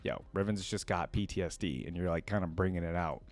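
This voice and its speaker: A man's voice: low voice, fairly quick. A man hosting a reaction video, reading lore aloud and adding casual commentary.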